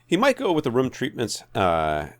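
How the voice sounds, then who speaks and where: A man's voice: mid-range voice, huge pitch swings, moderate pace, dry recording. A man co-hosting a podcast, chatting casually and wryly about home theater gear. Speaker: podcast co-host.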